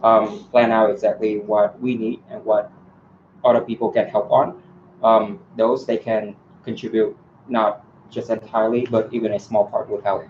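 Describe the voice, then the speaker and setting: A man's voice: fairly low pitch, little pitch movement, moderate pace, a little hiss or hum. A man answering candidate questions at a student election forum, hesitant and full of ums and restarts. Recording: echoey room, dull lo-fi sound.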